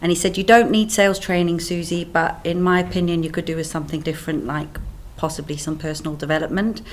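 A woman's voice: lowish voice, flat delivery, fast talker. A woman in her fifties with a South African accent, answering interview questions in a candid, chatty way. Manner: candid, chatty, laughs easily. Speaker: sales trainer guest.

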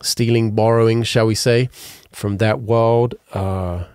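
Congested man with a deep low voice. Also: steady tone, takes it slowly, a little mellow, smooth, no room sound, studio quality. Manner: rambling, self-correcting, frank. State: congested, stuffy nose. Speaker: podcast host and musician. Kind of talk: casual solo talk while playing piano. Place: home music studio with keyboards. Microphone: studio mic on boom arm, headphones on. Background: his own piano playing.

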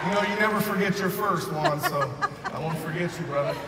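A man in his thirties giving a loud, excited motivational speech on a big convention stage, often shouting to the crowd. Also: fairly high pitch, a little fast, very noisy. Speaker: convention speaker. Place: large convention arena stage. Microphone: far recording from the audience. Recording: echoey arena recorded from far off.

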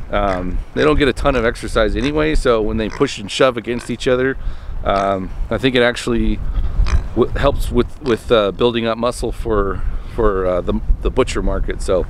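Man in his thirties, farm vlogger, outdoors, camera at arm's length, rambling, faint background noise. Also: medium pitch. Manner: rambling, with many ums and restarts. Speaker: homestead vlogger. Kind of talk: casual talk to camera. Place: outdoors on a farmstead, by the coops. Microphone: handheld camera at arm's length.

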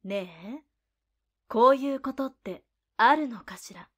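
A woman's voice: a little high, takes it slowly. Woman playing a cool, alluring, defiant lady. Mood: cool, challenging. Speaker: voice actor. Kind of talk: anime-style voice drama acting.

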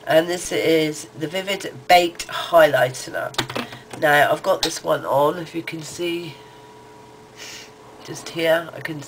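A middle-aged woman with a cold, sounding nasal and congested, chatting loosely through a makeup haul with plenty of ums. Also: deep voice. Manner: rambling, hesitant, lots of ums. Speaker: beauty vlogger. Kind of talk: casual makeup haul chat. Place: small cluttered bedroom, indoors. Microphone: webcam close to the face. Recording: noisy home webcam audio.

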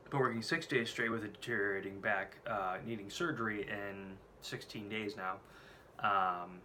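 Low-voiced man with a scratchy head cold. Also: steady tone, normal speed, bright, forceful tone. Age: late 20s. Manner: candid and rambling, swears freely. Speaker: vlogger. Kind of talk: casual personal vlog. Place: small room at home, indoors.

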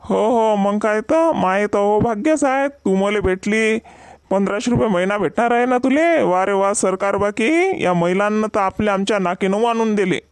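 A man's voice: high-pitched, normal speed, smooth. A man voicing an exasperated village husband in a comedy cartoon, complaining sarcastically in a Vidarbha Marathi accent. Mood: sarcastic. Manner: complaining, sarcastic rustic banter. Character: exasperated, grumbling village husband with long-suffering temper.

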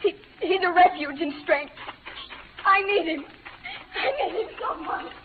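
A woman acting an earnest young frontier wife in a 1940s radio western, speaking in a halting, emotional, pleading way. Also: very high voice. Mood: tearful gratitude. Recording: old noisy radio recording, phone-like band.

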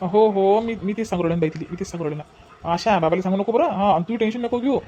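A man's voice: high voice, fast, mellow, highs cut off, a little hiss or hum, natural voice. A man playing a bossy village husband and brother in a comedy cartoon, brusque and repetitive, with a Vidarbha Marathi accent. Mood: reassuring. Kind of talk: exaggerated cartoon family comedy.

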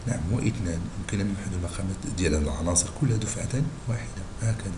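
A male math teacher with a Moroccan Arabic accent, hoarse and husky from a cold, explaining a lesson slowly and patiently and checking in with his students. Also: sits low, background noise. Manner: slow and patient, checking in with listeners. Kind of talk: screen-recorded math lesson.

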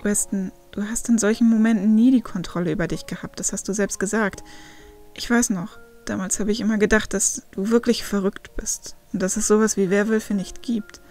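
A woman's voice: medium pitch, steady tone, normal speed, darkish tone, close to an everyday voice. A woman voicing a caring young male friend in a story, earnest, soothing and forgiving. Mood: gentle, forgiving. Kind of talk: acted audiobook dialogue. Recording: faint music behind the voice.

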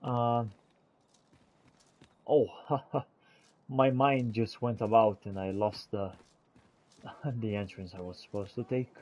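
A man's voice: lowish voice, fairly even intonation, takes it slowly, long pauses, a little mellow, dull audio. A man giving theatrical, in-character commentary as he plays a video game. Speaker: gamer roleplaying during gameplay. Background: video game ambience.

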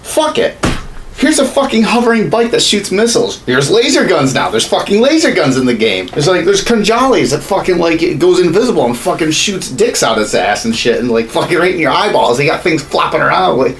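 A man's voice: fairly high pitch, brisk. A man in his thirties ranting casually as a podcast co-host, profane, exasperated and sarcastic. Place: small home studio room. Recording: noisy room, steady background ambience.

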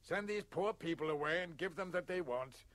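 A man's voice: a little high, measured pace, vocal fry, fairly bright tone, no room sound, heavily acted. A man playing an ageing giant nobleman in a storybook reading, theatrical and declamatory, given to melodramatic exclamation. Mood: boisterous joy. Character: ageing giant nobleman, bellowing melodramatically between grief and glee.

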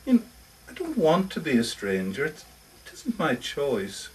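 A man's voice: fairly high pitch, wildly swooping pitch, moderate pace, far from the mic, slight background noise, rough recording. A man reading a short story monologue as a lonely, socially awkward older lodger, confiding, hesitant and defensive, correcting himself as he goes. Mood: rejected, wistful. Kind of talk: first-person short story monologue read aloud. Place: live reading in a bookshop.

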